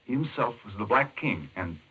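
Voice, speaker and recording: An actor playing an intense, urgent doctor in a 1940s American radio mystery, explaining things emphatically. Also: male voice, medium pitch, big pitch swings, moderate pace, bright, forceful tone, breathy edge, exaggerated voice. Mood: fascinated, grave. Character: intense, obsessed male chess-playing doctor explaining a madman's scheme. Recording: muffled 1940s radio recording.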